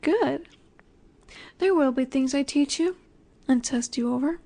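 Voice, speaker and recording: A woman playing a dominant, nurturing snake-woman monster in an intimate roleplay audio, speaking in a gentle, coaxing way that often sinks toward a whisper. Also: high voice, a little slow. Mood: gently firm.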